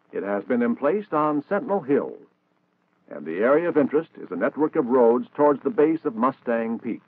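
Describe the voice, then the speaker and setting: A man's voice: medium pitch, no echo. American man, narrow-band 1960s film narration. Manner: formal, precise, instructional. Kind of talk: scripted 1960s military training film narration.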